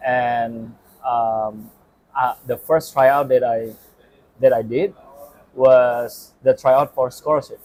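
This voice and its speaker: A man's voice: mid-range voice, fairly even intonation, slow pace, a little mellow, low-quality recording. A young man, an assistant coach, recounting his path in a casual chat with many ums and hesitations. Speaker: former player and assistant coach.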